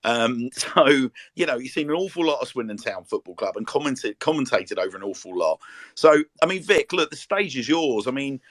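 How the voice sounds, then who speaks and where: A man's voice: mid-range voice, expressive, moderate pace, dry recording. A man hosting a casual podcast chat, rambling with repeated words and plenty of fillers. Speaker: podcast host.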